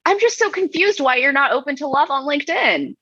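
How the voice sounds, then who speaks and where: Wry, bright-voiced woman podcast host. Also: high-pitched, expressive, fairly quick, no echo, lo-fi sound. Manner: wry, dry reading with asides. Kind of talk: casual podcast chat, reading comments aloud.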